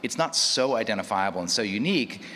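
Low-voiced man in an echoey hall. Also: animated, fast, fairly bright tone. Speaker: panelist, audio drama maker. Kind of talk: casual panel talk, anecdote. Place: large reverberant hall at a live panel. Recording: echoey hall, background noise.

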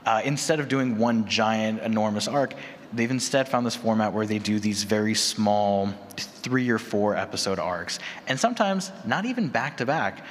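A man's voice: on the low side, expressive, moderate pace. A man speaking conversationally as a podcaster on a panel. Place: large convention room with audience. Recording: echoey hall PA, noisy room.

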